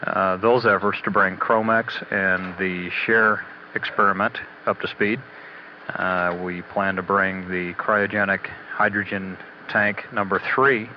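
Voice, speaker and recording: A man giving a halting, matter-of-fact technical status briefing as a spaceflight mission commentator, on old radio audio. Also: deep voice. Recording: dull, noisy 1980s mission radio audio.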